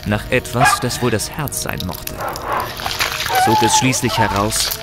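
A man narrating a fantasy audio drama in a grave, measured, scripted storytelling style. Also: mid-range voice, huge pitch swings. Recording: music behind the voice.

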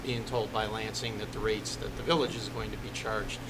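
A middle-aged man speaking haltingly as a village attorney, formally explaining ordinances at a board meeting. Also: little pitch movement. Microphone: desk microphone on the dais. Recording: noisy meeting room with ventilation rumble.

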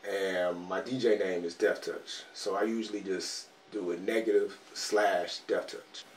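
A man's voice: low voice, fairly even intonation, measured pace. A man in his thirties with a Detroit accent, introducing himself casually as a turntablist DJ in an interview. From Detroit, USA. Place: small cluttered home studio. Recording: echoey room, distant mic, faint noise.

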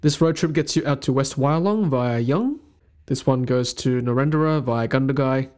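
A man's voice: mid-range voice, moderate pace, a little mellow. Upbeat man narrating slides. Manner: upbeat, methodical, lists tips by number. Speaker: channel host. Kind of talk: voice-over explaining a map with slides.

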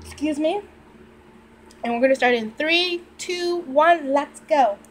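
A woman's voice: high voice, slow, slight background noise. A woman in her thirties giving a casual, spontaneous reaction to a film at home, in an emotional, exclaiming manner. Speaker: reaction video host. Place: small room at home, indoors. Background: film soundtrack music.

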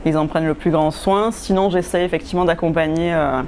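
A woman in her forties, originally from West Yorkshire, who works as a fashion designer and answers interview questions with a lively manner and frequent hesitations, recorded on a lapel mic in a greenhouse. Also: low voice, a little fast, a little hiss or hum. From England, West Yorkshire. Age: 40s. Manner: lively, hesitant, with many fillers. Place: glass greenhouse, indoors.

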